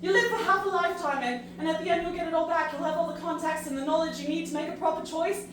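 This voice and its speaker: A woman playing an earnest, disillusioned human pleading in an audio drama, halting and emphatic in her delivery. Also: very high voice. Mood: urgent, persuasive. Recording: very echoey, distant, noisy ambience.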